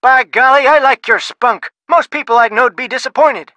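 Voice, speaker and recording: A blustery folksy researcher. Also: male voice, very high voice, normal speed, pushed and bright, slight background noise. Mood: gruff. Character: gruff folksy older male shipwreck researcher. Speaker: phone contact in a game.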